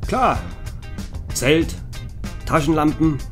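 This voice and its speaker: A man's voice: fairly high pitch, animated, drawn-out words, music in the background. A man playing an easily exasperated young guy in a comedic audio drama, colloquial and exclamatory. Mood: casual.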